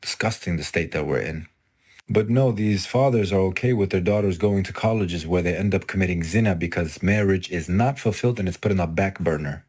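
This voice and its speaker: A man's voice: low voice, a little fast, darkish tone, breathy edge. A man giving a stern, scolding lecture in a preaching style.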